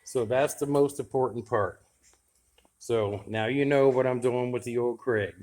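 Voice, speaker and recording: A man's voice: mid-range voice, measured pace. A man talking casually to camera as a radio hobbyist vlogger, chatting loosely while he tinkers. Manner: relaxed and chatty, thinking aloud while tinkering. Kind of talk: casual how-to vlog.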